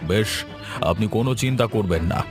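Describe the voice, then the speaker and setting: A man's voice: fairly low pitch, brisk, music in the background, close to an everyday voice. A man voicing a righteous, helpful village headman in a fairy-tale cartoon, assured and authoritative. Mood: indignant, assured.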